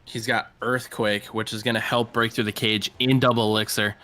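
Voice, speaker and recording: A man's voice: fairly low pitch, level tone, a little fast, sharp tone. An American man from Massachusetts giving analytical live commentary on an esports match. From Massachusetts, United States. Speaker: esports caster.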